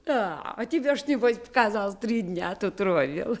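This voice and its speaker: A woman's voice: mid-range voice, expressive, moderate pace, lightly acted. A woman playing an affectionate old village grandmother in a Russian radio play, folksy and teasing with mock scolding. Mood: fussing, fond.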